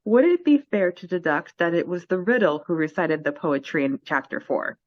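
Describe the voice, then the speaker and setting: A woman's voice: fairly low pitch, sing-song, normal speed, dry recording, highs cut off. Giggly woman co-hosting a fan podcast. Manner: upbeat, giggly, reads questions aloud. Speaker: podcast co-host. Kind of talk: casual fan podcast chat.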